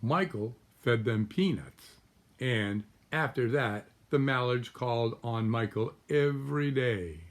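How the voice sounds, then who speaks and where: A man in his sixties reading a children's storybook aloud like a grandfather, pausing to explain the pictures to small children. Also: medium pitch. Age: 60s. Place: small room at home, indoors.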